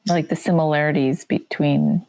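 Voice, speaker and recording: A woman whose first language is Korean, raised in the United States, chatting reflectively as a podcast guest with soft hesitations. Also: deep voice, very animated, moderate pace, dry recording, poor audio. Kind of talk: casual podcast chat.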